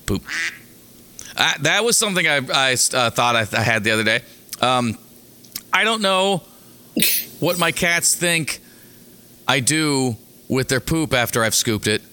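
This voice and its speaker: A hoarse man with a raspy voice, chatting casually as a podcast co-host, rambling and joking with many restarts. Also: medium pitch, very animated, a little slow, long pauses, bright, forceful tone. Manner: rambling, jokey, restarts a lot. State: hoarse from singing. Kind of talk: casual chat.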